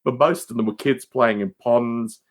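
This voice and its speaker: A man's voice: medium pitch, moderate pace. A man speaking as a podcast guest in casual, rambling banter, emphatic and prone to digressions and mildly exasperated exclamations.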